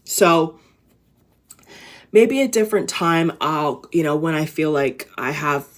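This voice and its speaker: A woman with a low, raspy voice talks to her viewers in a loose, rambling inspirational vlog. She sounds tired, and she keeps circling back with restarts and fillers as she works out her thoughts. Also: expressive, slow. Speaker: vlogger. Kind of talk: casual inspirational talk to camera.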